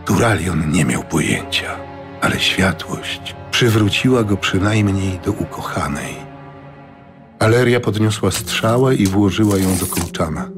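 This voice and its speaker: A man narrating a scripted fantasy audiobook with measured, dramatic storytelling. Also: low-pitched, lively intonation. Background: music.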